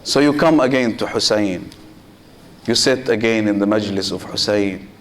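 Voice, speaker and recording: Man preaching in slow measured phrases. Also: lowish voice, slight background noise. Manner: slow, measured delivery in short phrases with pauses; addresses listeners directly and asks rhetorical questions. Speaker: sheikh giving a Muharram sermon. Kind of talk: sermon.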